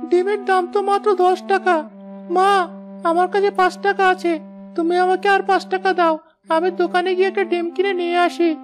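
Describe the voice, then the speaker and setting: A woman's voice: very high-pitched, flat delivery, fairly quick, music in the background. A woman voicing an earnest, dutiful schoolboy from a poor family in a cartoon moral story. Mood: pleading.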